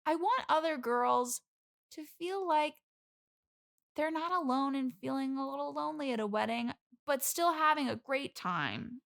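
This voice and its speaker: A woman's voice: high voice, lively intonation, unhurried, creaky, fairly bright tone, full character voice. A woman playing a needy, lonely bridesmaid desperate for friends, rambling earnestly as a guest on an improvised comedy podcast. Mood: earnest, wistful.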